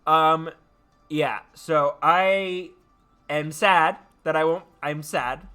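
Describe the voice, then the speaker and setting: A man hosting a livestream, sounding tired yet animated, chatting casually and haltingly as he repeats his phrases. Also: on the high side, smooth, soft background music. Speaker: livestream host. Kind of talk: casual rambling chat to viewers.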